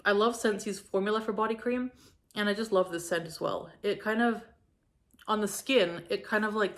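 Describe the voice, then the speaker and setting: A young woman from Canada talks casually through her product reviews, enthusiastic and full of likes and ums. Her voice sits at an ordinary middle pitch, a little hoarse from a cold. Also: a bit bright. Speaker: home fragrance reviewer.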